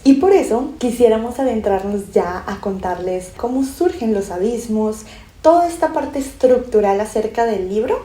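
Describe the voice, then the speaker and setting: Young Colombian woman with a Pasto accent, enthusiastic podcast co-host, very dark tone, roomy sound. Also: medium pitch. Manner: enthusiastic, explanatory, frequent restarts. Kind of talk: casual book-discussion podcast.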